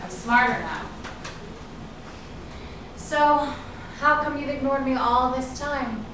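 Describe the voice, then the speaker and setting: In a very echoey room with clear background hiss, a woman plays a worldly actress with a slightly high voice. She is wary and reproachful, pointed in the manner of a stage drama. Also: slow, far from the mic. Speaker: actor in a staged reading. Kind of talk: theatrical staged reading. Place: indoor room at a live staged reading.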